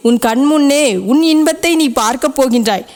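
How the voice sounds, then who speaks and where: A loving, ageless mother goddess, voiced by a woman, speaks in a high, tender voice to a devotee she calls her child. Her words are solemn and reassuring, as in a devotional message. A long reverb rings around every phrase, as if in a vast hall. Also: normal speed, darkish tone, natural voice.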